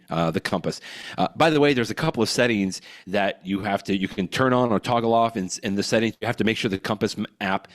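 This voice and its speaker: An American man co-hosting a tech podcast, explaining tips in a casual, enthusiastic way. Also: fairly low pitch. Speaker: podcast co-host. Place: hotel room.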